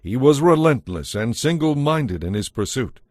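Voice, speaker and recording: A man's voice: mid-range voice, big pitch swings, moderate pace, a little mellow, dry recording, studio quality. A man narrating a dramatized audio Bible as a scripted storyteller.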